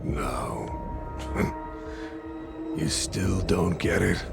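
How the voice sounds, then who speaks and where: An actor playing a sadistic, gloating gangster villain in an English video game dub, with a gravelly voice and a sneering delivery. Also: male voice, low voice, lively intonation, extremely slow, music in the background, full character voice. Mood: scornful, boastful.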